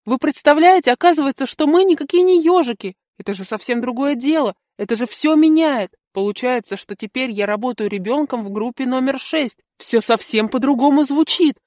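Woman voicing an eager, naive little boy, high-pitched. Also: brisk, hardly any pauses, no room sound, clean studio sound. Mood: amazed, proud. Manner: earnest, expressive, childlike wonder. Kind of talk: first-person storybook narration.

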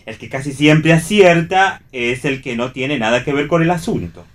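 A man's voice: on the high side, moderate pace, lightly acted. A man with an Argentine accent playing a contrary, sardonic, restless character in a read radio play. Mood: probing, restless. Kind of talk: read radio theatre dialogue.

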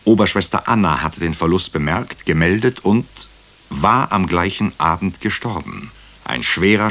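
Deep-voiced brooding doctor. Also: male voice, fairly bright tone, exaggerated voice. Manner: clipped, deliberate phrases with dramatic pauses. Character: brooding male hospital doctor recounting a murder case. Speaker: actor narrating as the lead character. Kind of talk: German radio crime drama narration.

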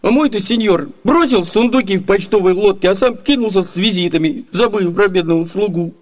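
An actor playing a hungry, wily, chatty comic servant in a theatrical radio comedy, expressive and eager. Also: male voice, high voice, fairly quick, close to an everyday voice. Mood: grumbling, plaintive.

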